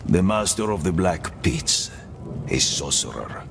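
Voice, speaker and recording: A man playing a weary, sly old prisoner in a video game, musing in measured, deliberate phrases. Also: sits low, sing-song, creaky, noisy background, heavily acted. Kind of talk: dramatic video game acting.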